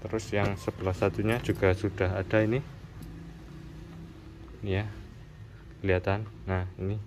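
A man's voice: deep voice, level tone, moderate pace, darkish tone. A man giving a casual hands-on tutorial, explaining step by step in an informal, repetitive way. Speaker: motorcycle accessory shop presenter. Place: indoors at a wooden workbench. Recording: clearly noisy room with background hum.

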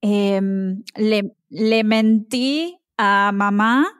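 A woman's voice: mid-range voice, very slow, smooth. A woman with an Argentine accent, a podcast guest who explains things with examples and laughs often. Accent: Argentine Spanish. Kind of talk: casual conversation.

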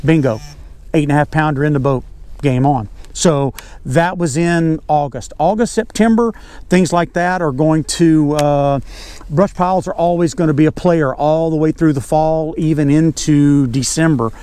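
A man's voice: fairly high pitch, a little mellow, smooth, no echo. An older man with a Southern US accent giving an informal, folksy fishing lesson as a guide, repeating key words for emphasis. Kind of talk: informal instructional talk. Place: outdoors on a bass boat on a lake.

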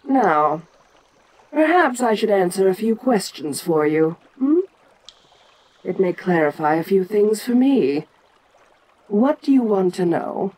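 An ancient giantess kaiju queen, acted by a woman, speaks very slowly and with regal deliberation. Her female voice sits at a middling pitch. She muses imperiously, pausing often, as in an intimate audio roleplay monologue. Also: sing-song, darkish tone, low-quality recording. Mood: matter-of-fact, commanding.

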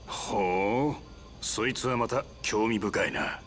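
Rugged, easygoing mentor. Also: male voice, fairly low pitch, slow pace, a bit bright, a little hiss or hum. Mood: casual, interested. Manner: rough, familiar, casual. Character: rugged, easygoing middle-aged mercenary mentor. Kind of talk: anime-style game voice acting. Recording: music behind.

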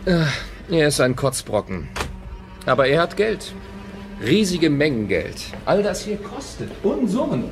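Gravelly, wary tech founder. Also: male voice, a little high, huge pitch swings, measured pace, music in the background, exaggerated voice. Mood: frank, animated. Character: wary, world-weary male tech company founder. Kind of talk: German audio drama dialogue.

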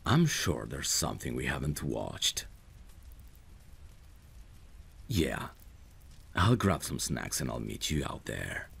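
A man playing a protective, lovesick friend in a romantic ASMR roleplay, speaking tenderly and intimately in a husky, gravelly voice. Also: extremely low pitch, big pitch swings, a bit bright, exaggerated voice. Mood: gentle, hopeful. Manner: tender, soothing, unhurried. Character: protective lovesick male friend, gentle and devoted.

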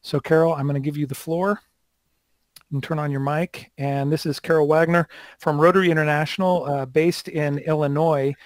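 Moderator, male, 60s. Slightly high voice, dry headset sound, hosting a virtual round table. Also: steady tone, normal speed, no room sound. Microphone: headset on a video call.

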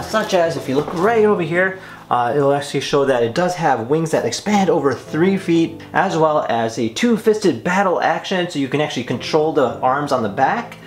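A man in his twenties hosting a toy unboxing and demonstration in an enthusiastic, casual way. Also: a little high. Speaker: toy review host. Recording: roomy echo, music behind. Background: clear background music.

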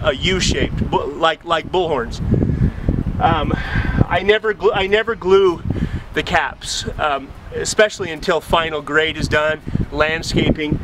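A man in his forties explaining hands-on trade work in a casual, plainspoken, matter-of-fact way, talking to a camera outdoors. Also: on the high side. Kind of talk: casual instructional talk to camera. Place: outdoors beside a house at a dig site. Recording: outdoor noise, clicks.

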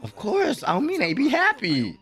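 Young male reactor, high and swooping voice, gravelly edges, slangy hype. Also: moderate pace, soft background music. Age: young adult. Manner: animated, slangy, hyped exclamations. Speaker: anime reaction host. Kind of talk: excited live reaction commentary. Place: small room at home, indoors. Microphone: desk microphone, close.

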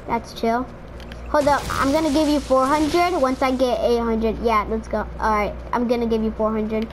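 A woman with a fairly high voice talks casually through a team shooter match. She rambles, fills gaps with "like" and "um", and keeps up a steady chatter. Noisy game sound runs under her voice. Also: moderate pace. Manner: rambling, filler-heavy, chatty. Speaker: streamer playing in a squad. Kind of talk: casual gaming chat. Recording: noisy game audio behind.

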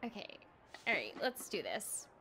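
A woman's voice: medium pitch, big pitch swings, measured pace, vocal fry, sharp tone, slight background noise. A young woman chatting casually on a game livestream, giggly and flustered as she reacts aloud. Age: young adult. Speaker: streamer, voice actress. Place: indoor room, streaming setup. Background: game music.

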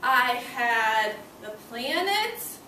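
Young female primary teacher, high voice, encouraging and instructive; distant mic with clear room noise. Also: very animated, drawn-out words. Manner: encouraging, instructing in simple short phrases. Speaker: elementary school teacher. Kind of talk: classroom read-aloud lesson for young children. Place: school classroom, indoors. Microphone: far camera. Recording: echoey classroom, distant camera, loud room noise.

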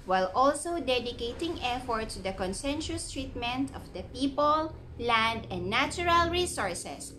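A woman's voice: sits high, a bit bright, rough recording. A young woman with a Filipino accent, chatting through an unboxing vlog at home and reading product text aloud. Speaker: vlogger. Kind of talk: casual unboxing vlog mixing English and Tagalog. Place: living room at home. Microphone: camera in front at arm's length.

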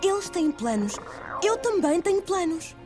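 A woman with a European Portuguese accent voicing a principled, caring young woman in an animated film dub. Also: very high voice, a little slow, heavily acted. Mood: earnest, firm. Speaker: fan dub voice actor. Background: music.

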